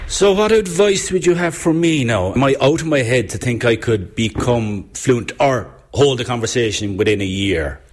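A man's voice: mid-range voice, animated, normal speed, few pauses. A man, presenting and chatting casually and jokingly with a family member and a guest. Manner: chatty, joking, hesitant restarts. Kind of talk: casual family conversation and interview.

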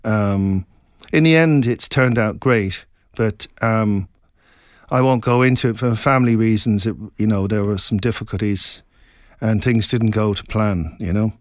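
A man's voice: fairly low pitch, dry recording, studio quality. Reflective middle-aged man from Scotland. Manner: reflective, meandering, halting with many restarts. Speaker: author, interview guest. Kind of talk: casual interview chat.

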